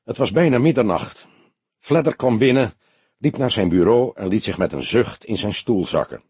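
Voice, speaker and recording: A male audiobook narrator reads a scripted story dramatically. His voice is low and husky, with lively movement in pitch and a close, dry sound. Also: normal speed, no echo.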